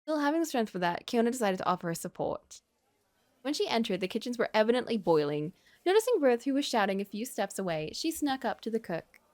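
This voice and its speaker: Lively woman reading a story game aloud. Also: fairly high pitch, quick pace, dry recording. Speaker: game streamer. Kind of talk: reading a visual novel aloud with casual asides.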